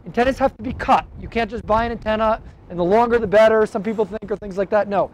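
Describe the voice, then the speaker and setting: High-voiced man explaining off-road gear. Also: fast talker, slight background noise, rough recording. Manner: direct, practical, frequent "okay?" check-ins. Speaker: shop owner explaining radio gear. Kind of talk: casual how-to explainer. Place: garage, then outdoors in a windy lot.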